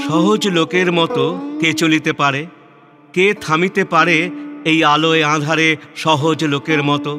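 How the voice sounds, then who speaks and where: A man with a high voice recites poetry solemnly and dramatically. He goes slowly, setting short phrases apart with deliberate pauses. Long reverb surrounds the voice, and clear instrumental music plays behind it. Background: musical instrument backing.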